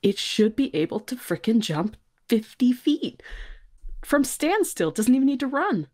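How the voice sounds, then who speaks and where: A woman's voice: fairly high pitch, sing-song, a little slow, mellow. A woman speaking as a podcast guest, explaining her thinking in casual discussion.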